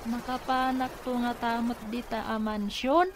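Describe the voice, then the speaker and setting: A woman's voice: on the high side, little pitch movement, normal speed, close to an everyday voice. A woman playing a curious, eager young child, in Ilocano-accented radio drama, with a naive, inquisitive manner. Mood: curious, excited. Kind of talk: Filipino radio drama acting. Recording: noisy radio drama with background ambience.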